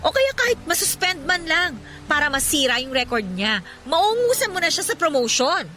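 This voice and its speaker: A woman's voice: sits high, sing-song, moderate pace, bright, forceful tone, faint music behind, heavily acted. An actress playing a sympathetic yet scheming female office friend, chatty and seeking agreement, in a Filipino radio drama. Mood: conspiratorial.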